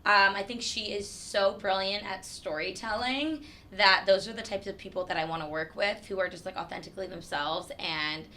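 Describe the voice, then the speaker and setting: A woman's voice: medium pitch, fairly even intonation, normal speed, few pauses, sharp tone, a little hiss or hum. A woman in her twenties from New Hampshire, a guest chatting casually on a podcast, with lots of "like" fillers. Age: 20s. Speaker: guest, creative director.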